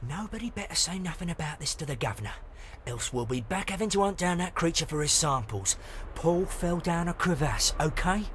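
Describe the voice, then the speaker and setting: An actor playing a gruff, uneducated nineteenth-century sailor, bored, hungry and menacing, in dramatic video game storytelling. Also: male voice, on the high side, expressive, a little fast, slight background noise. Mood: conspiratorial. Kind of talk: dramatic diary narration and acted dialogue. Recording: music playing loudly under the voice.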